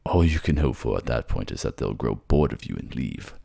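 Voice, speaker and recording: Man acting a very deep, gravelly brooding king. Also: expressive, mellow, full character voice. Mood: grim. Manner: curt, brooding, halting. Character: cold, cruel, jealous king, proud and brooding. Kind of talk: fantasy audio drama acting.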